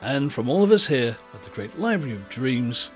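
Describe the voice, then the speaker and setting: A man narrating an audiobook in an expressive, theatrical storytelling style with deliberate emphasis. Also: medium pitch, faint music behind.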